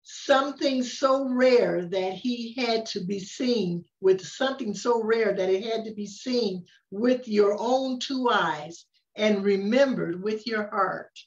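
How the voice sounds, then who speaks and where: An older woman reads a picture book aloud at an unhurried pace, leaning into key words with expressive emphasis. Her voice sits at an ordinary pitch for a woman. The recording is a little dull and lo-fi. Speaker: story reader. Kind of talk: picture-book read-aloud with casual asides.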